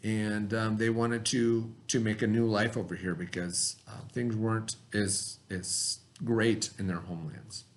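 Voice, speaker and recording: A man's voice: lowish voice, level tone, measured pace, few pauses. A man speaking as a teacher in a read-aloud lesson, explaining simply and posing questions that he answers himself.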